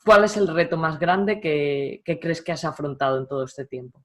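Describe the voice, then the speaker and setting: A woman's voice: sits low, moderate pace, fairly bright tone. A woman hosting an interview, politely asking long, winding questions and thanking her guest. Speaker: interview host.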